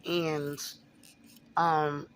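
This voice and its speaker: A man with a slightly raised pitch talks straight to camera in a casual monologue. His throat is a little sore, and he speaks haltingly, restarting phrases and filling pauses with "um.". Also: level tone, fairly bright tone, a little hiss or hum. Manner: halting, repeats words, many fillers. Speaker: video blogger.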